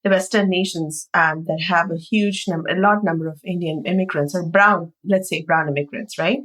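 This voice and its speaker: A woman's voice: lowish voice, steady tone, moderate pace, a bit bright. A woman hosting a podcast, chatty, asking long rambling questions. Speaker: podcast host. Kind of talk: casual interview chat.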